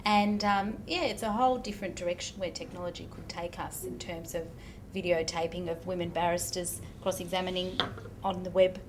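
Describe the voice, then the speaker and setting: A woman barrister talking in a lively, animated way on a panel. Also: fairly low pitch, a little fast, few pauses. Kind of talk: panel discussion. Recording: noticeable background hiss.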